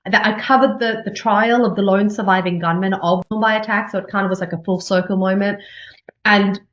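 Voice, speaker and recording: A woman with an Australian accent speaks in a relaxed, chatty way, full of "you know" and quick restarts, at an ordinary mid pitch. Her voice bounces off a roomy, echoey space, and the sound is a little dull and muffled. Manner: chatty, quick, many fillers and restarts, laughs easily. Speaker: journalist and news anchor being interviewed. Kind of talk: conversational interview.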